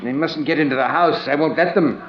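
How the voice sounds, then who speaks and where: A man's voice: on the high side, brisk, a little hiss or hum, close to an everyday voice. A man playing a fearful, paranoid professor in a 1940s radio drama, agitated and anxious. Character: fearful older male professor, paranoid about insects. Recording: dull old radio recording.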